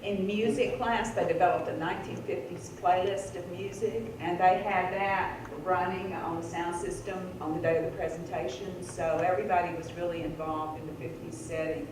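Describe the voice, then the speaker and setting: A woman's voice: lowish voice, fairly even intonation, normal speed, hardly any pauses. A woman making informal public remarks, heard from a distance in a large, noisy, echoing room. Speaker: speaker describing a school program. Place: large echoey room, far from mic.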